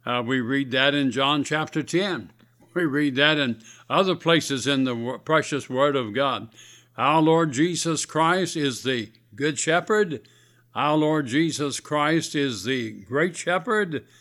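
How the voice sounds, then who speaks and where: A man's voice: on the high side, slow, fairly bright tone. A man with a scratchy voice, preaching earnestly on a radio sermon broadcast in a folksy, exhorting way.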